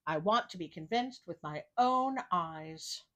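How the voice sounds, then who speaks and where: A woman voicing a cunning, flattering male storybook cat with theatrical awe. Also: fairly low pitch, a little slow. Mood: sly challenge.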